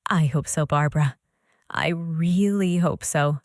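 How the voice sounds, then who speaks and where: An actress playing a gentle, uncertain young wife. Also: female voice, sits low, measured pace, no room sound, studio quality. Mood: wistful.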